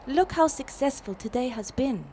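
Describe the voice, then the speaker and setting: Warm 1970s women's activist, resolute and emphatic, lively melody, radio play with noisy ambience. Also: female voice, high-pitched, moderate pace. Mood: firm, warm. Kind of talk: radio drama acting.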